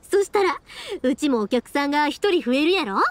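A woman voicing a cheerful, warm-hearted young fireworks maker in an anime-style game, chatting expressively with a Kansai accent. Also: high voice, expressive, fairly quick. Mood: warm, playful. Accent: Kansai Japanese.

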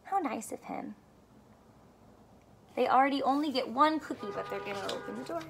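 A young woman teacher doing a read-aloud math lesson for children, animated and full of questions, speaking clearly for kids. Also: high voice, normal speed, noisy background. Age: young adult. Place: small furnished room at home, indoors.

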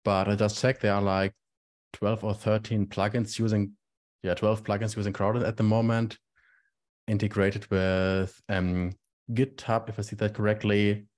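A man's voice: fairly low pitch, little pitch movement, moderate pace, darkish tone. A man on an online project meeting, explaining technical details in a plain, matter-of-fact way. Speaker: meeting participant.

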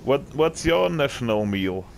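Slow, gravelly Austrian man gaming. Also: medium pitch, big pitch swings, a little hiss or hum. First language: German. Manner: chatty, joking, laughs often. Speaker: gamer. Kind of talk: casual chat while gaming.

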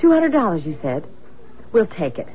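A woman's voice: lowish voice, very animated, moderate pace, a little hiss or hum. A woman playing an eager, chatty, house-proud wife in a radio drama. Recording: dull lo-fi radio recording.

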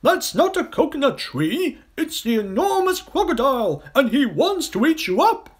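A man voicing a huge, protective hippopotamus in a children's storybook, shouting urgent warnings. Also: very high voice, very animated, moderate pace, voice pushed up. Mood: urgent alarm.

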